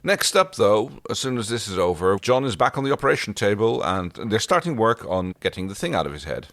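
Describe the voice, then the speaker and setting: A man co-hosting a casual TV recap podcast, often pausing to search for his words. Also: lowish voice, very animated, a bit bright, no echo. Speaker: podcast co-host. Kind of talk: casual TV episode recap chat.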